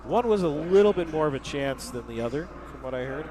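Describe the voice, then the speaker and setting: A man's voice: fairly high pitch, lively intonation, quick pace. A man doing live poker commentary, chatty and joking, explaining hands with asides. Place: poker tournament venue. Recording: noisy crowd at a live event.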